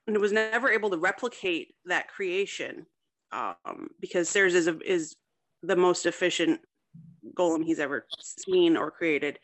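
A woman's voice: fairly low pitch, a bit bright. A woman guest author speaking casually in an interview, hesitantly piecing her explanations together. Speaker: guest author discussing own story.

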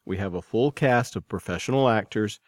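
A man's voice: on the low side, normal speed, no echo, clean studio sound. A man reading scripted narration as the host and editor presenting a podcast.

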